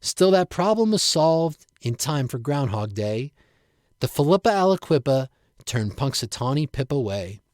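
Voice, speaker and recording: A man's voice: medium pitch, very animated, a little slow, darkish tone, dry recording, clean studio sound. A man reading his own rhyming story aloud in a storybook narration style. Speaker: writer reading own piece.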